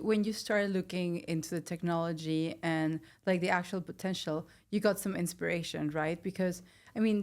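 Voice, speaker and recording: A woman's voice: lowish voice, darkish tone. A woman asking questions as a podcast interviewer in an informal, engaged, conversational way.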